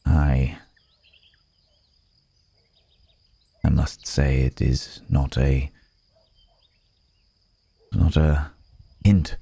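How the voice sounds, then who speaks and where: A man's voice: a bass voice, warm tone, dry recording, exaggerated voice. A man playing a weary, courteous cursed werewolf in an ASMR roleplay, speaking in formal, old-fashioned phrases with pensive hesitation. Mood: musing, wry.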